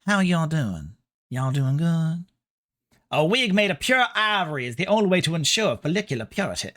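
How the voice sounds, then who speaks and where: A man putting on a courtly Southern gentleman with a drawling US Southern accent during game commentary. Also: a little high, very animated, normal speed. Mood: folksy, pompous.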